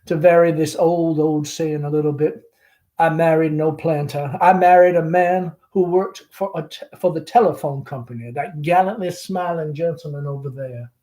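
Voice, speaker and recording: A man's voice: a little high, steady tone, normal speed, mellow, lightly acted. A man voicing a chatty middle-aged Southern belle mother, genteel and fretful, with a gushing, rambling, theatrical manner, in a stage play reading. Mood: wistful, nostalgic.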